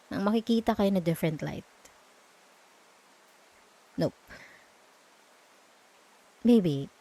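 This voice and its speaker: A woman with a Filipino accent playing a sweet, teasing, comforting girlfriend in a soft, intimate ASMR roleplay. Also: mid-range voice, rough recording. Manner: soft, tender, playful, soothing.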